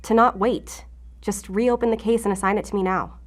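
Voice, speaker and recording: An actress playing a guarded, defiant law-enforcement agent in an audio drama, with a sarcastic, defensive way of talking. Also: female voice, mid-range voice, fairly even intonation, moderate pace, close to an everyday voice. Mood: urgent, insistent.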